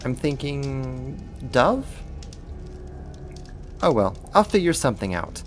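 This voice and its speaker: A voice actor playing a sadistic, haughty half-spider monster in an ASMR horror roleplay, talking slowly and condescendingly in a theatrical way. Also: mid-range voice, big pitch swings, faint music behind. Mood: polite, breezy.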